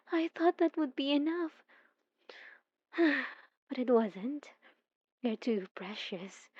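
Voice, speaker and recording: A young woman playing an obsessive, possessive stalker student in an intimate ASMR audio roleplay, cooing softly and dramatically with sweet menace. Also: fairly high pitch, lightly acted. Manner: soft, intimate, cooing, dramatic, drops into whispers. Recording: dull lo-fi sound with roomy echo.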